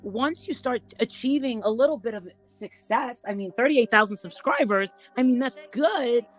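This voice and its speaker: A woman chatting casually as a panel guest, rambling and haltingly repeating her words as she goes. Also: a little high, faint music behind, low-quality recording. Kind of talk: casual gossip chat.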